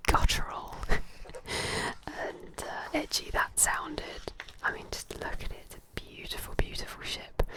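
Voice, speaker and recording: A woman in a soft, breathy, unvoiced whisper, giving gentle, chatty, admiring ASMR commentary at an unhurried pace. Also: low-quality recording. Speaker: ASMR host. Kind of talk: whispered ASMR commentary while leafing through an art book.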